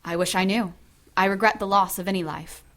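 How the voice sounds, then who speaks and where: A woman playing a dignified, stern high priestess of witches, formal and measured, in an audio drama. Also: steady tone, fairly bright tone, natural voice. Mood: guarded calm.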